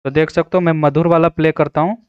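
A man walks listeners through an app in a casual, instructional way. His voice is clear and on the higher side. The recording is close and dry, with a slightly muffled top end. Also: fairly even intonation, fast talker, no echo. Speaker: tutorial host. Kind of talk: casual screen-recorded app tutorial narration.

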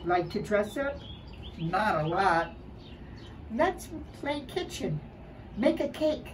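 An older woman reading a children's picture book aloud in an expressive, deliberate way, dramatizing it for young children. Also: mid-range voice. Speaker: early childhood storybook reader. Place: outdoors by a building wall and door. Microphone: camera a short distance away. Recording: distant mic, clear background noise outdoors.

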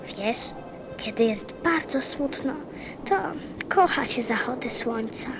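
A woman's voice: fairly high pitch, lively intonation, takes it slowly, noisy background. A woman playing a small, sensitive little prince in a radio play, with a childlike, earnest, questioning manner. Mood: wistful.

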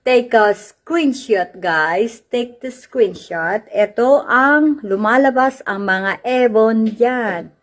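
Woman, Filipino accent, slightly high voice, slow unhurried talk, playful house-tour chat, handheld phone indoors. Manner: playful, mixes Filipino and English, slow drawn-out phrasing. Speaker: vlogger giving a house tour. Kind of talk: casual chatty house-tour narration. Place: indoors in a house, walking room to room. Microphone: handheld phone camera.

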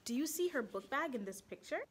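Animated young woman reading to children. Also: high-pitched, brisk, husky. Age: young adult. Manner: animated, asks the children questions. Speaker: storytime reader for children. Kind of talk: expressive picture-book read-aloud for children.

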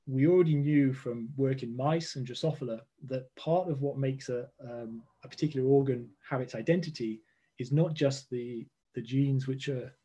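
A man in his forties, a scientist explaining his research over a video call from a room at home, thoughtful and full of pauses and fillers. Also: medium pitch, dark tone. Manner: thoughtful, hesitant, many fillers and restarts. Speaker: genomics lecturer, guest scientist. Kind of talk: explaining research in a live online interview. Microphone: video call webcam.

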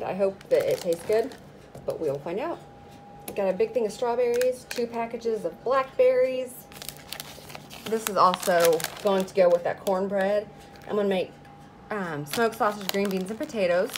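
A woman's voice: mid-range voice, darkish tone, poor audio. A woman in her thirties casually chatting through her grocery haul, naming each item as she unpacks it. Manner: chatty, itemizing each product. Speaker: vlogger. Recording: noticeable background noise. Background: rustling bags and crinkling packaging.

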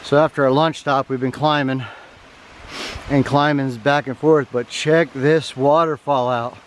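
A man's voice: medium pitch, fairly even intonation, measured pace. Guy in his 30s talking to his camera on the trail, folksy and easygoing, kinda worn out, faint outdoor noise. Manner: folksy, easygoing, talks to the camera while hiking. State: tired. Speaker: thru-hiker vlogger. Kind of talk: casual trail vlog narration. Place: outdoors on a wooded mountain trail. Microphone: handheld camera at arm's length.

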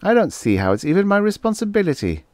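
A man voicing an indignant three-year-old boy who talks fast in an aggrieved squeak. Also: very animated, a little mellow, dry recording.

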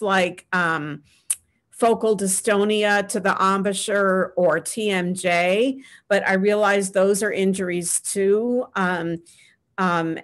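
A middle-aged woman speaking from a small home room over a webcam, talking in an earnest, advice-giving way. She often pauses with "um" as she answers questions on a video call panel, at a medium pitch. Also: fairly bright tone.

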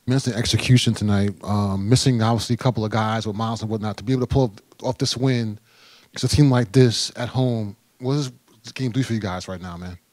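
Guy reporter firing off a casual question quick, dry close mic. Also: lowish voice, fairly even intonation, a little mellow, dry recording. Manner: casual, ends questions with "man".

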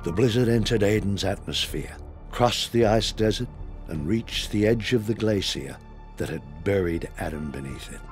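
Narrator for space adventure audio drama: low-voiced man, suspenseful scripted delivery over strong music. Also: expressive, moderate pace. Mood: grave suspense. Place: recording studio.

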